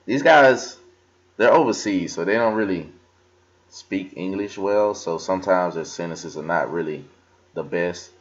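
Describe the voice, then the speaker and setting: A young man with a very low, deep voice talks loosely at his desk, full of ums and restarts. The sound comes through a slightly dull computer microphone. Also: a little slow. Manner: casual, filler-heavy, talks while scrolling and reading aloud. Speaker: crypto vlogger. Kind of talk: casual screen-recorded walkthrough. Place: indoors at a desk.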